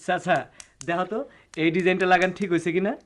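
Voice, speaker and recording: A young apprentice in a radio play, eager and respectful. His Dhaka-area Bangladeshi speech is high for a man and comes out quickly. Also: level tone, fairly bright tone. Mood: eager, admiring. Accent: Bangladeshi, Dhaka-area dialect. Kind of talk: radio drama acting.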